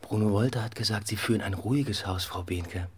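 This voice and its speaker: An actor playing a cool, probing police detective in an understated radio play, with clipped, polite questioning. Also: male voice, lowish voice, brisk, darkish tone, a little hiss or hum, natural voice. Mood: curious.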